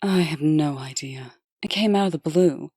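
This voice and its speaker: A woman playing a composed, dutiful, dryly candid deputy in a comic dub, with measured, formal delivery. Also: low-pitched, darkish tone, slightly rough, no echo, studio quality.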